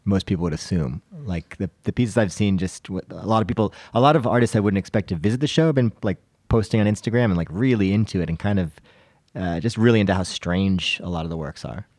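Deep-voiced man, halting and dryly humorous. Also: a little mellow, dry recording, studio quality. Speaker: podcast co-host. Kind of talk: casual art chat.

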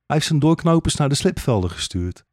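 A man's voice: fairly high pitch, big pitch swings, brisk, a little mellow, no echo, clean studio sound. A man narrating a scripted satirical fairytale radio play in a sardonic, rhetorical manner.

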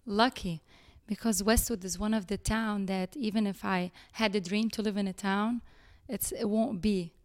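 A middle-aged woman from Lebanon with a Lebanese accent, chatting as a guest about her business, speaking haltingly with fillers and restarts. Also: medium pitch, steady tone. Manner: halting, many fillers and restarts, nonstandard grammar. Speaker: guest, chocolate business owner. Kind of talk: casual interview chat.

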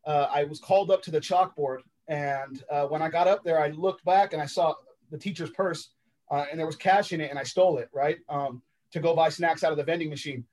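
A man from Los Angeles whose first language is sign language, telling his life story as a podcast guest in a reflective, halting way with many ums and uhs. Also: a little high, fairly even intonation, darkish tone. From Los Angeles, United States.

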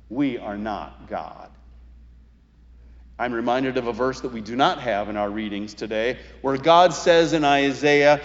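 An American man in his sixties preaching a sermon as a pastor, speaking deliberately with emphatic pauses and phrases that fall away. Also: mid-range voice. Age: 60s. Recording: muffled, reverberant hall recording.

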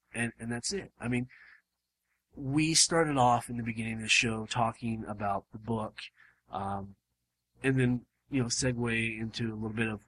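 A man hosting a casual podcast, his voice hoarse and starting to give out, rambling with lots of fillers and restarts. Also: on the low side, a bit bright, low-quality recording. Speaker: podcast host. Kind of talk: casual chat. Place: home room.

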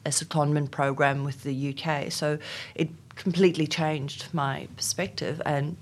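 A woman's voice: sits low, fairly even intonation, a little slow. A middle-aged South African woman, Afrikaans her first language, giving thoughtful interview answers with frequent ums and restarts. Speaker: interview guest, HR executive.

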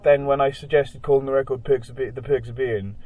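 A man's voice: mid-range voice, fast talker, poor audio. A young man with a Welsh accent, a band member chatting casually in an interview, rambling with lots of fillers as he tells stories. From Wales. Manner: rambling, many "like" fillers, animated storytelling.

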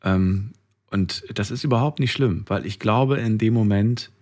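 A man hosts a radio phone-in in a low register. His voice has a husky grain, and he speaks casually, with a gentle, reassuring way of addressing callers. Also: measured pace.

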